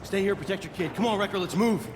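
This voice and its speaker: Brisk, terse actor as a male squad soldier. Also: high-pitched, background noise, lightly acted. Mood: commanding. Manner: terse, commanding. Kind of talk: video game cutscene acting. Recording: long reverb, loud vehicle ambience.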